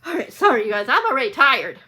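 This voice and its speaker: A woman's voice: high-pitched, big pitch swings, fast talker, bright, forceful tone, breathy edge. A woman talking casually to viewers in a rambling, informal book vlog, often getting sidetracked. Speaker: book channel vlogger.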